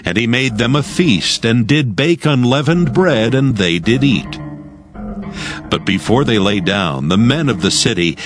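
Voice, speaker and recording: A man narrating dramatized scripture in a formal, measured manner. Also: mid-range voice, expressive, over music.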